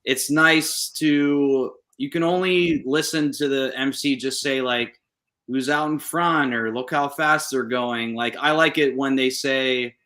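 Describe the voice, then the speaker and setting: A man's voice: mid-range voice, fairly even intonation, slow pace, sharp tone. A man hosting a casual interview over a video call, asking long, rambling questions with fillers and laughs. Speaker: podcast host. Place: indoor room.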